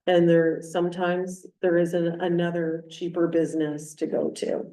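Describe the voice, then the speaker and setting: A woman's voice: on the low side, level tone, measured pace, mellow. A woman chairing a council meeting as mayor, speaking plainly and explaining with everyday examples. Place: council chamber. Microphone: distant room mic. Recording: echoey council chamber from far off.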